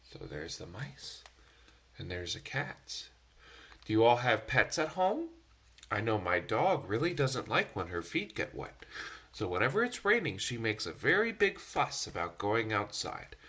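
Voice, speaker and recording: A man's voice: medium pitch, sing-song, measured pace, sharp tone, husky. A man reading a picture book aloud to children, expressively, with chatty asides to his young listeners. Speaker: storytime reader for a church. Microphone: phone.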